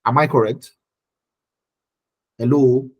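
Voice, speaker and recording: Husky Nigerian man teaching. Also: medium pitch, fairly even intonation, slow, muffled recording. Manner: repeats phrases for emphasis. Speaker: teacher leading an online study group. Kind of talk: informal live lecture with check-in questions.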